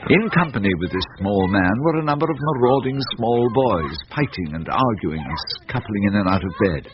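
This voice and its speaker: Low-voiced English storytelling narrator. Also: male voice, expressive. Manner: measured, literary. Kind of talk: scripted dramatic storytelling narration. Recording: muffled, faint music behind.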